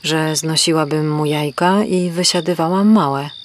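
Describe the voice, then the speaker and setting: A woman's voice: low-pitched, a little slow. A woman playing a naive, lovesick young hen in a children's audio play, meek and simple, tacking clucks onto her phrases.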